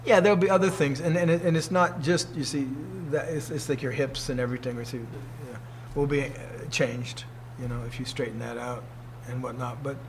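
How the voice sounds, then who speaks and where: A man's voice: medium pitch, fairly even intonation, a little fast, few pauses, a little hiss or hum. A man in his sixties, a martial arts teacher, giving an informal talk to students, emphatic and fond of rhetorical questions. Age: 60s.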